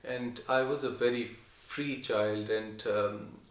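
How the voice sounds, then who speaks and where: A young adult man from Pakistan, answering his wife's questions in a soft, hesitant way with many pauses. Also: medium pitch, fairly even intonation. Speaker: interviewee. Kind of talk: casual chat. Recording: echoey room.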